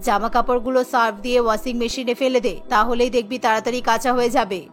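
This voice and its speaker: A woman's voice: on the high side, fairly even intonation, fast, soft background music, voice pushed down, close to an everyday voice. A woman voicing a protective, scolding middle-aged mother in a cartoon, advising in a chiding manner.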